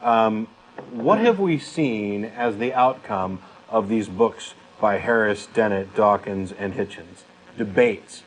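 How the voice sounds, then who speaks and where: A man's voice: lowish voice, takes it slowly, poor audio. A man in his late thirties co-hosting a talk show, giving opinions in an emphatic, blunt, casual way. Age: late 30s.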